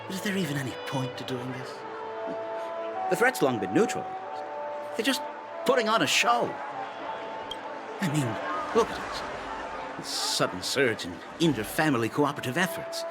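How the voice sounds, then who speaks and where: Brief: knowing, wry man, lively intonation, music loud behind. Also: a little high, normal speed, long pauses. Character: sly male city official trading rumors. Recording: game cutscene over loud music.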